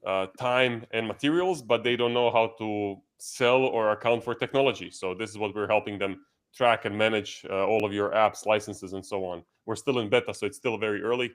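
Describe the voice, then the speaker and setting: A man's voice: fairly low pitch, fairly quick, clean tone. A man from Croatia with a Croatian accent, talking casually and chattily as a guest on a panel, often interrupting himself. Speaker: guest, tech entrepreneur.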